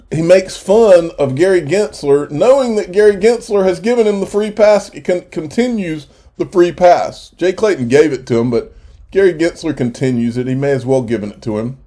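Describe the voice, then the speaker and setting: A man's voice: medium pitch, mellow. A congested man with a cold, hosting his own channel in rambling, chatty commentary. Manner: rambling, chatty, restarts often. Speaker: crypto channel host. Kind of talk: casual commentary.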